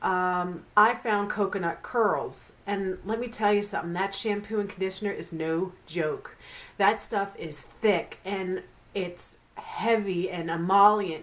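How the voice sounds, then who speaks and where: A woman's voice: medium pitch, a bit bright. A middle-aged woman who reviews beauty products, chatty and rambling, sounding nasal and stuffed up from the flu. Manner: chatty and rambling, with frequent fillers. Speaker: beauty vlogger. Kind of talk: casual product review vlog.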